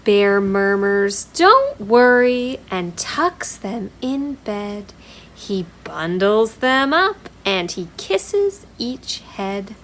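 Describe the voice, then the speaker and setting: A woman hosting a storytime for young children, speaking in a deliberate, singsong way. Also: mid-range voice, lively intonation, a little hiss or hum, rough recording. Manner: singsong, deliberate, repetitive phrasing for small children. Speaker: children's library storytime host. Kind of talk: storytime read-aloud and simple activity for young children.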